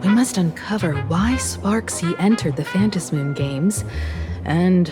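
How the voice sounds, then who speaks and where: A woman voicing a composed, wistful young woman in a game story, talking in a measured, reflective way. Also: fairly low pitch, lively intonation, over music. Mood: serious, resolved. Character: composed, wistful young woman, former scrappy martial artist student. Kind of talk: scripted game story dialogue.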